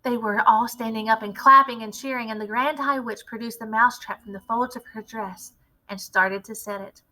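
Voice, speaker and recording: A woman reading a children's story aloud as an expressive storyteller. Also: on the high side, normal speed, rough recording. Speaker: read-aloud storyteller, teacher.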